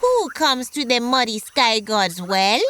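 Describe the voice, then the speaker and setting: A woman's voice: on the high side, big pitch swings, slow pace, sharp tone, slight background noise, pitched above the performer's own voice, exaggerated voice. A woman voicing a proud, selfish female frog in a children's story, calling out in a haughty, dismissive way. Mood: bossy, dismissive.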